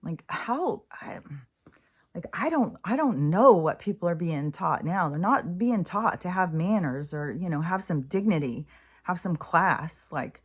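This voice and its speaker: A woman's voice: lowish voice, expressive, a little slow, husky. A woman in her sixties talking casually and candidly in a rambling spiritual monologue, swearing freely. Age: 60s. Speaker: host.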